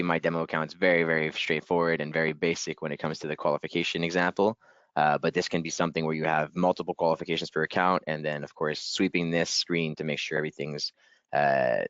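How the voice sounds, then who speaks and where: Deep-voiced man giving a software webinar. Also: smooth, dry recording, muffled recording. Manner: casual, upbeat, repeats "very, very". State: slightly hoarse, clearing throat. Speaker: software trainer. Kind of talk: webinar screen-share walkthrough.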